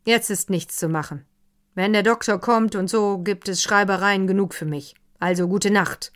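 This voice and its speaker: A woman reading the part of an irritable older nobleman in a storybook, voicing him loud and gruff. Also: medium pitch, normal speed, lightly acted. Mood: exasperated.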